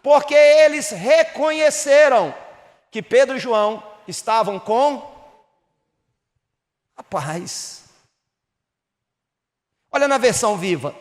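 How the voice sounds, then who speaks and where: A Brazilian pastor from Minas Gerais with a Mineiro accent, preaching a sermon that rises to emphatic bursts and drops low again. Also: male voice, lively intonation, takes it slowly, long pauses, in a large hall. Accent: Mineiro Brazilian Portuguese. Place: church hall.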